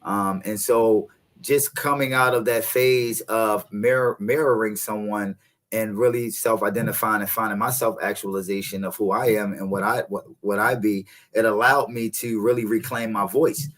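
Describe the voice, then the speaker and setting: A man in his thirties, a guest coach, speaking in a relaxed, reflective way and often adding "you know what I mean". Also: on the low side, normal speed. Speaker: podcast guest, encouragement coach. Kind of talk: reflective casual conversation.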